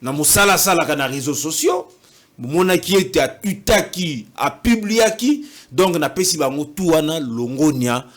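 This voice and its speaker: A man's voice: a little high, lively intonation, normal speed. Earnest Congolese man mixing French and Lingala. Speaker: opposition party official. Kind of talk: casual interview talk.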